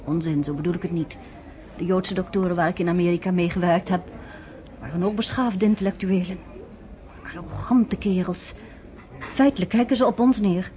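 An actress playing a proud, curious American nurse in a radio drama, talking firmly and with challenge. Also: female voice, on the low side, normal speed, mellow, natural voice.

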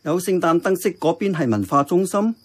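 A man playing an earnest, thoughtful uncle who runs a diner in a radio drama, with a Hong Kong accent and a measured, reflective manner. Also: high-pitched, lively intonation. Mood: curious. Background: music.

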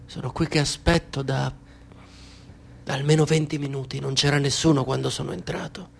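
An actor in a radio drama playing a menacing male stranger who speaks in a calm, insinuating, measured way. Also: mid-range voice, creaky, slight background noise. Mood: cool, menacing.

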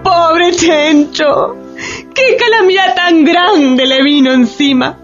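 A woman's voice: very high-pitched, slow pace, highs cut off, music in the background. An actress playing a pious, distressed woman who laments melodramatically in a satirical radio drama. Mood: mournful.

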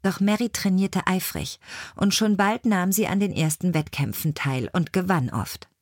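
A woman's voice: lowish voice, normal speed, dry recording, studio quality. A woman reading scripted biographical stories as an audiobook narrator.

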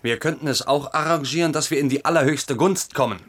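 Brief: a servile courtier, dry and careful. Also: male voice, fairly high pitch, fairly quick, fairly bright tone.

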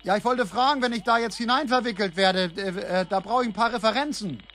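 An actor playing an excitable, gullible yet suspicious man in a naturalistic German radio play, stammering and hesitant. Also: sits high, fairly quick. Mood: nervous, suspicious.

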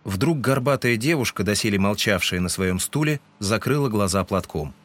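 A man's voice: fairly low pitch, lively intonation, normal speed, fairly bright tone. A man reading scripted literary narration as the narrator of a dramatized audiobook.

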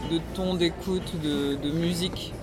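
A woman's voice: fairly low pitch, steady tone, unhurried, music in the background. A woman musician and radio host giving a casual interview, thinking aloud with many fillers and restarts. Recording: noisy street recording.

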